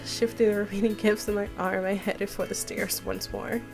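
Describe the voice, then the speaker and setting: A woman's voice: mid-range voice, fairly even intonation, normal speed, creaky. Casual woman reading aloud over music. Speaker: game streamer reading a visual novel aloud. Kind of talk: casual read-aloud with commentary. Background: game music and Japanese game voices.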